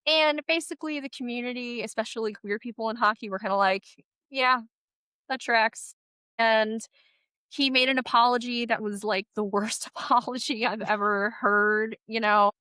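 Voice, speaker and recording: Stuffy-nosed woman, bright crisp voice. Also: fairly high pitch, moderate pace, no echo. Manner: chatty, animated, laughs often. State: stuffy from a head cold. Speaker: podcast co-host. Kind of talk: casual chat.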